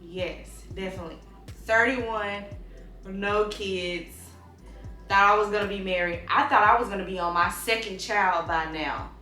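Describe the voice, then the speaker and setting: A woman in her thirties hosting a casual chat, animated and slangy, laughing often. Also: mid-range voice, a little slow. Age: 30s. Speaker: host. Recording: roomy sound from a distance with music.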